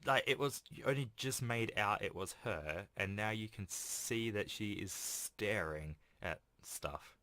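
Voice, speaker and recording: A man's voice: on the low side, a little slow, hardly any pauses, bright, forceful tone, dry recording. A man hosting a video game playthrough, reading a visual novel aloud with casual, self-deprecating asides. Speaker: let's play host.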